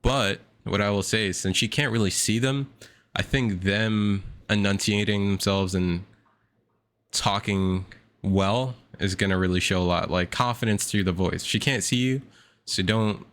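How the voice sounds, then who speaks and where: A young man giving slangy, casual reaction commentary in a self-described monotone. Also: low voice, a little slow. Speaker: reaction video host.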